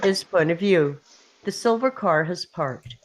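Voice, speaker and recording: A woman's voice: lowish voice, expressive, unhurried, a little hiss or hum, poor audio. A woman narrating screenplay stage directions in short, clipped, punchy phrases at a table read. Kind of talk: scripted narration of screenplay action.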